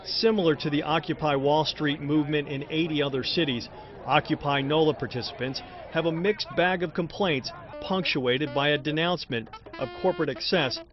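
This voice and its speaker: A man's voice: on the high side, moderate pace, soft background music. A man delivering a television news report as a field reporter. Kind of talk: live and packaged news report. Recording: dull audio, street crowd noise behind.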